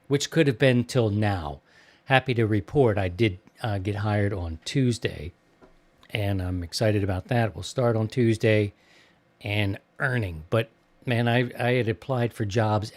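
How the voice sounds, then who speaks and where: Casual middle-aged man hosting a show. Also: on the low side. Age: early fifties. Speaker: host of a ham radio show. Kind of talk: casual podcast chat.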